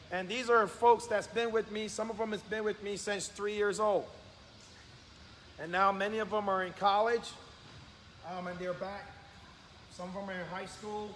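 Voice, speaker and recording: A man from Barbados, a sports coach, talking earnestly and with emphasis in a live interview. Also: sits high. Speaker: sports coach and academy owner. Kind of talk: live interview, motivational talk. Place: indoor sports gym. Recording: echoey hall, clear background noise.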